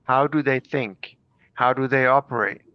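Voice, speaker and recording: A man's voice: mid-range voice, normal speed, dry recording, highs cut off. A man from Germany explaining things conversationally through personal anecdotes. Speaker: group call participant.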